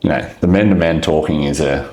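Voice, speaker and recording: A man's voice: very deep voice, fairly quick, darkish tone, reverberant. A man with an Australian accent hosting a podcast, speaking in an easygoing, thankful way. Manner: easygoing, thankful, short backchannels. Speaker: podcast host. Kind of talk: casual interview chat.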